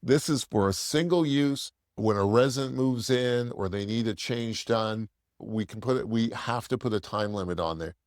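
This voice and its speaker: A man's voice: mid-range voice, moderate pace, a little mellow, dry recording, clean studio sound. A man presenting a casual product demo in a plain-spoken, explanatory, conversational way.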